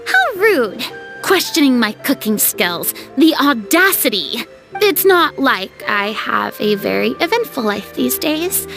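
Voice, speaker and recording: A proud, theatrical former goddess, haughty and grand, quickly flustered when anyone pokes at her pride. Her voice sits fairly high, and soft music plays under the game cutscene. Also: big pitch swings, vocal fry, exaggerated voice. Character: proud fallen former goddess, dramatic young woman.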